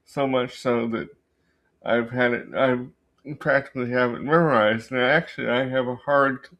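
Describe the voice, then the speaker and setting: A man hosting a book channel, telling a story casually and unscripted, haltingly searching for words and often rephrasing himself. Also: mid-range voice, steady tone, fairly bright tone. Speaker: book review channel host.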